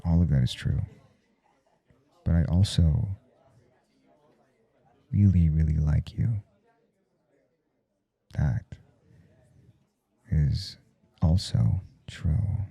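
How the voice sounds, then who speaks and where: A man playing a blunt, intimate professor in an ASMR audio roleplay, speaking in a halting, confiding way with a teasing, domineering edge. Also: heavily acted. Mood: earnest, vulnerable. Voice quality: low, gravelly, soft and dark. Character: adult male professor, intimate, callous, earnest, teasingly domineering.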